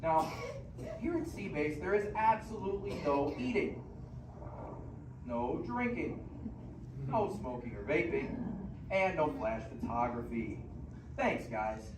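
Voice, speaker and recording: A man hosting a live theme park show, giving a scripted, emphatic warm-up to an audience of children. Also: a little high, animated. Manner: emphatic, drawn-out announcing to children. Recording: echoey noisy theater with crowd, distant.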